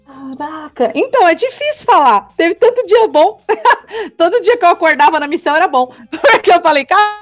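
Guest: high, bubbly São woman in her twenties, slangy and laughing, on slightly muffled audio with light music. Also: moderate pace. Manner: excitable, laughing often, slangy. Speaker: podcast guest, returned missionary. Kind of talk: casual interview chat.

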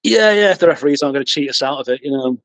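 A man's voice: medium pitch, expressive, rapid-fire, no room sound. A man with a Mancunian accent, chatting as a podcast guest, hesitant with lots of ums and restarts, chuckling at himself. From England. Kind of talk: casual interview chat.

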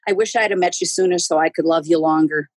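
A woman's voice: low-pitched, fast, slight background noise. A woman in her sixties, a podcast guest chatting casually and telling stories, laughing often. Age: 60s. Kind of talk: casual interview chat.

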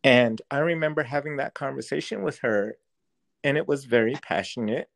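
A man's voice: mid-range voice, moderate pace, vocal fry, fairly bright tone. A man whose first language is Cantonese, speaking as a school district educator in an interview, earnest and often restarting as he searches for words. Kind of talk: thoughtful interview answers.

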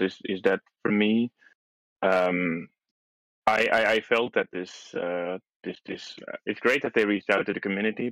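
A man's voice: fairly low pitch, no echo, rough recording. A young adult man whose first language is Dutch, guest on a casual podcast, talking in a hesitant way with many restarts. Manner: hesitant, restarts often, soft laughs. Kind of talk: casual chat.

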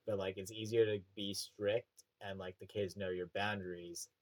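A young man with a Canadian prairie accent, chatting casually on a podcast. His voice sits low, and his talk wanders through fillers and restarts. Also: level tone, normal speed. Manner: rambling, many fillers and restarts. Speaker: teacher.

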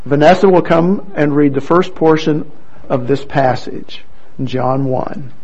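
A man introducing scripture readers at a church program, speaking in a relaxed way with light asides, on a muffled recording. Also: fairly high pitch, takes it slowly. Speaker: worship leader introducing readers. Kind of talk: church service emcee.

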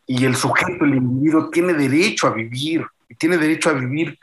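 Slangy Mexican man, rather high voice. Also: a little fast, low-quality recording. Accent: Mexican Spanish. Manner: heavy slang and swearing, quotes others in animated voices. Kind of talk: casual chat.

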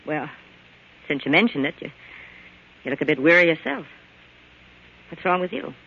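A woman's voice: low-pitched, lively intonation, moderate pace, creaky, a bit bright, slight background noise, heavily acted. A woman playing a hard, scheming, suspicious murder plotter in a 1940s American radio thriller, speaking in a tense, clipped, menacing way. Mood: wary, probing. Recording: muffled old-time radio recording.

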